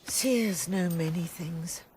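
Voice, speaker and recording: A woman voicing a mysterious, cryptic seer in a video game cutscene, speaking in a solemn, measured way. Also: on the low side, a little hiss or hum. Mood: calm. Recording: loud orchestral game score behind.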